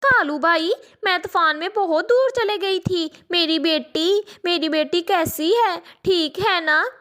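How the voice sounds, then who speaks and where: A woman's voice: very high-pitched, slow, sharp tone, voice pushed up, heavily acted. A woman voicing a sweet, caring mother sparrow in a children's cartoon story. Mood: anxious.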